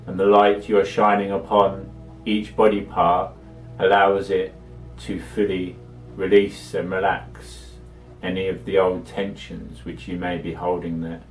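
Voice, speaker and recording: Deep, slow-voiced man guiding meditation. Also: level tone, soft background music. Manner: measured, soothing delivery with long pauses. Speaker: meditation guide. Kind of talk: guided meditation, slow spoken instruction. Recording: echoey, distant from the microphone.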